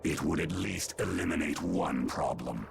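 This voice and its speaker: A man voicing an ancient, stern, imperious creature in a video game, with gravelly, formal, solemn speech. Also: very deep voice, moderate pace, background noise, exaggerated voice. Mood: stern judgment. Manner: formal, solemn, archaic phrasing. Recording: game music behind the voice.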